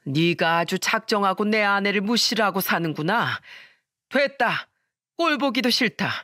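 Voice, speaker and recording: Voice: medium pitch, lively intonation, a little slow, bright, forceful tone, dry recording, clean studio sound. A narrator voicing an angry father who orders his daughter-in-law out of the house. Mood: furious. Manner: angry, commanding. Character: furious middle-aged father banishing his daughter-in-law.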